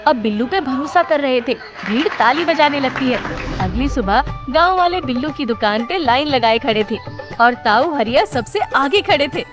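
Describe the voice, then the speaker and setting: High-voiced woman narrating a cartoon story. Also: animated, normal speed, over music.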